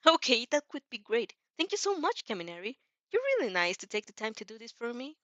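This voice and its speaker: A woman's voice: a little high, sing-song, a little fast, bright, forceful tone, dry recording, muffled recording, voice pushed up. A woman voicing a sweet, naive teenage girl in a polite, hesitant manner for a fan fiction reading. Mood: grateful, sweet.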